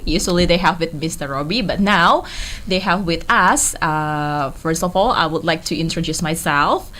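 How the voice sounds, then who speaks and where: Friendly radio host woman, lowish voice. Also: a bit bright. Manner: friendly, prompting with frequent mm-hmms. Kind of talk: casual radio chat.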